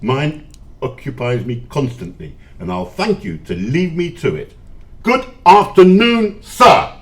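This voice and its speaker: A man's voice: a little high, big pitch swings, a little slow. A man acting a miserly, grumpy old businessman in an audio play, curt, scornful and dismissive.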